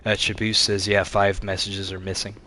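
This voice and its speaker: A man with a stuffy, congested voice, livestreaming a video game with casual, halting commentary and replies to chat. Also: lowish voice, flat delivery, sharp tone. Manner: halting, reading and answering chat aloud. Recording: game music behind the voice. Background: video game music.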